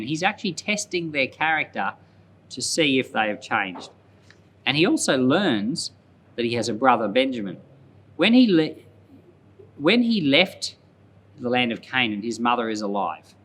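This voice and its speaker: A man's voice: medium pitch, fairly bright tone. Male pastor explaining scripture, noisy recording. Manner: explanatory, often repeats and rephrases for emphasis. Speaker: pastor and Bible podcast host. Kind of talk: conversational Bible commentary.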